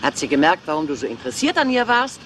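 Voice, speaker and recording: A woman's voice: low-pitched, fast talker, slight background noise. A woman playing an aging Hollywood film diva, cool, calculating and taunting, in a dubbed crime series. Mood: accusing. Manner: cool, taunting, lively intonation. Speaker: film star.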